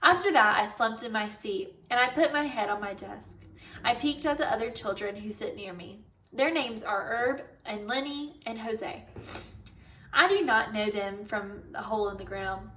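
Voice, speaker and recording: A woman's voice: on the high side, normal speed, bright, forceful tone, a little hiss or hum. A woman reading a children's book aloud expressively as a storyteller. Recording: roomy echo, distant microphone.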